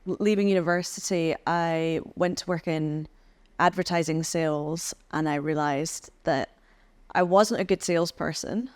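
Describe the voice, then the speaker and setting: A woman from the UK talks through her career in a relaxed interview, pausing often and restarting her sentences. Her voice is smooth and clear, sitting a little low, and the recording is close and dry. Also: dry recording. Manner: thoughtful, hesitant with many ums and restarts. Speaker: podcast guest, head of communications. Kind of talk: casual interview chat.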